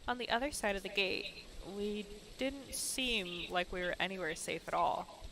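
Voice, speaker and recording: A woman's voice: medium pitch, unhurried, background noise, close to an everyday voice. Woman reading as Ashley, a sarcastic young American woman. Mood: sardonic. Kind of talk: dramatic read-aloud visual novel narration.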